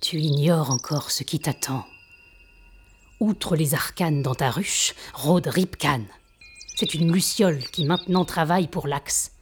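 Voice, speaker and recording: A woman playing a grave, measured mentor of a resistance in an audio drama. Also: low voice, music in the background. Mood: solemn. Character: Orion, solemn mentor master of a resistance. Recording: clear background noise of birdsong.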